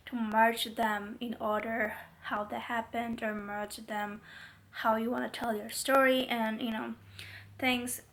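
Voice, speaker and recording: A young woman vlogging casually to camera with a stuffy, congested voice, chatty and rambling with many fillers. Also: a little high. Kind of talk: casual talking-to-camera vlog.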